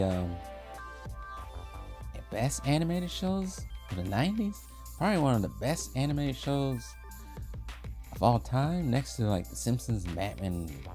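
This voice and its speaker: A man's voice: medium pitch, dark tone. A man hosting a review video in a casual, loosely phrased, opinionated conversational style. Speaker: host of an animation history video series. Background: background music.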